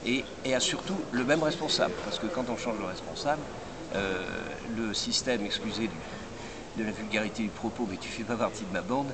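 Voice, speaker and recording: Older man, business recruiter type, measured and halting, heavy background noise. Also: fairly low pitch. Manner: measured, many hesitations and restarts. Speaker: recruitment firm head. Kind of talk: interview answers.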